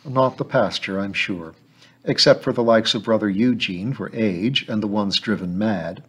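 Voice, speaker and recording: A man voicing a composed, dry-witted adult woman, read in a raised, measured voice. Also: fairly low pitch, fairly bright tone, breathy edge. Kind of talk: audiobook character voice.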